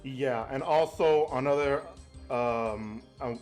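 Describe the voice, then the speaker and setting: A man with a mid-range voice plays a tabletop game, rambling playfully as he thinks out loud. His chat is casual, and faint music plays underneath. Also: medium pitch, slow.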